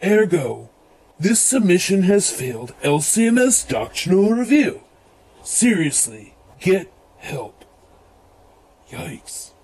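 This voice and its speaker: Man playing a high-pitched, stern letter-reading reviewer. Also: sing-song, a little mellow, husky, heavily acted, low-quality recording. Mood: scornful, deadpan. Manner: deliberate, formal, cutting. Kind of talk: comic radio theatre sketch.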